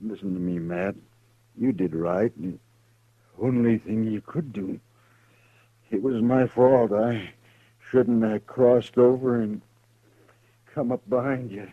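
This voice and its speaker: A dying frontier lawman in an old radio play. His speech is slow and faint, dry and close, and a bit dull in tone. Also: mid-range voice, dry recording. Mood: fading. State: dying, weak. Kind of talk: 1950s radio drama acting. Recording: dry, muffled vintage radio recording.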